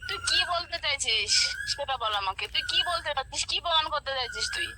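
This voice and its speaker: A woman's voice: high-pitched, expressive, fast, very noisy. A woman on the phone in a heated informal argument, defending herself indignantly and repeating herself. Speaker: friend receiving a prank call.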